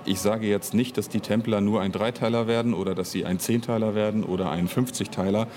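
Male interviewee, audio drama writer-director type: low register, thoughtful and halting delivery, noticeable background noise. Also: fairly even intonation, a little mellow. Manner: hesitant, reflective, searching for words. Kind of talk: unscripted interview conversation.

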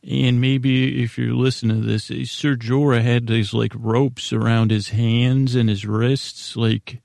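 A man hosting a sleep podcast in a casual, meandering monologue, halting and mumbling in a self-deprecating way. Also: mid-range voice, vocal fry, a little mellow, no echo, clean studio sound. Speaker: podcast host.

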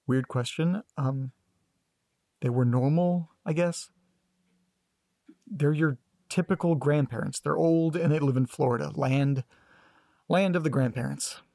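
A man's voice: fairly high pitch, a little slow, gravelly, long pauses, dark tone, no echo. A man acting in an audio drama as a guarded, sarcastic grandson who is detached from family trauma, speaking in a dry, hesitant, clipped way.

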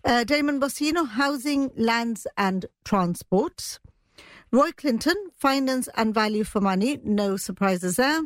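A female news editor speaks in a slightly high voice, recorded close and dry. She reports conversationally, hesitating and thinking aloud between points. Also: animated, a little slow, dry recording.

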